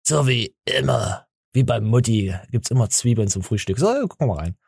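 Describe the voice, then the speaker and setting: A man's voice: mid-range voice, lively intonation, a little fast, vocal fry, noisy background. A man hosting a podcast, joking and teasing in casual chat, with many fillers and restarts. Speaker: podcast host.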